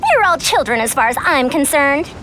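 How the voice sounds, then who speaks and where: A woman's voice: high voice, expressive, moderate pace, sharp tone, slight background noise. A woman voicing a proud senior scholar in a video game, with a youthful voice and formal, old-fashioned speech. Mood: haughty. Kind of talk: scripted video game character acting.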